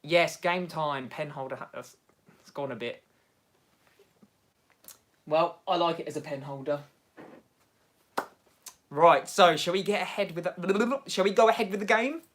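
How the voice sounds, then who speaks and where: Chatty Midlands lad hosting a livestream. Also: male voice, fairly high pitch, unhurried, pauses often. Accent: English Midlands. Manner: chatty and excitable, laughs often, bouncing between topics. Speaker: livestream host. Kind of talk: casual livestream chat reading viewer comments.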